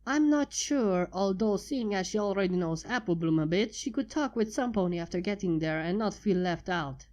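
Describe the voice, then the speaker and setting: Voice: medium pitch, expressive, moderate pace, few pauses, darkish tone, close to an everyday voice. A fanfiction reader voicing an earnest young female unicorn scholar.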